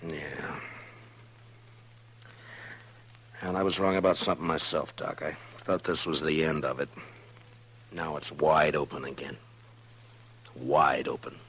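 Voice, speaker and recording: Casting: deep, deliberate, weary frontier lawman, grave and sparing with words, old radio drama. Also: fairly even intonation. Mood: grim. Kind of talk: 1950s radio Western acting. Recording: noisy old radio recording.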